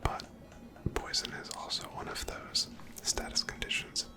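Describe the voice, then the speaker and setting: A person whispering gentle, chatty ASMR commentary over a video game, breathy and close to the microphone. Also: normal speed, over loud music. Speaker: gameplay host.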